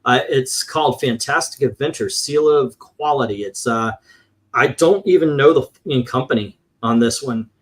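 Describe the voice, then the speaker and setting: A man with a mid-pitched voice talks casually as a host showing off a collection. He rambles in a loose, conversational way and swears now and then. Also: moderate pace. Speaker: comic book collector and show host. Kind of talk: casual show-and-tell commentary on vintage comics.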